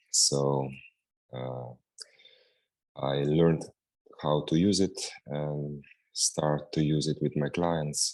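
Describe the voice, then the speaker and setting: A man's voice: very deep voice, a little mellow, low-quality recording. A man with a Slovene accent, speaking English as a second language, answering interview questions with many hesitations. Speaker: guest practitioner.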